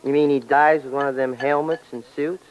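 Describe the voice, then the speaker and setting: A man playing a scheming crook in a vintage radio play, mid-pitched, casual and slangy with a pushy edge. The recording is dull and a little noisy. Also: steady tone, fairly quick, close to an everyday voice. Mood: cagey, casual. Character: cagey, slangy male crook on the run, scheming. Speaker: radio drama actor. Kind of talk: 1940s-50s radio drama acting.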